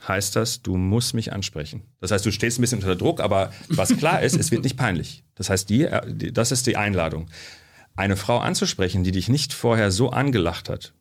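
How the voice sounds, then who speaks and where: A man from Germany, a sociologist guest, telling stories in a relaxed studio interview, with lots of fillers. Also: on the low side. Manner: chatty storyteller, frequent fillers.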